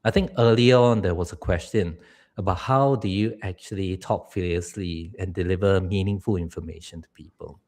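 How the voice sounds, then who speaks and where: A man's voice: deep voice, normal speed, darkish tone. A middle-aged man from Singapore, a public speaking coach giving earnest advice on a live stream. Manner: earnest, advice-giving, laughs now and then.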